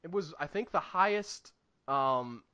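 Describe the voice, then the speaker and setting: A man co-hosting a podcast, casually discussing films in an animated, emphatic and opinionated way. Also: on the high side, measured pace, clean tone, dull audio. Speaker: podcast co-host reviewing films.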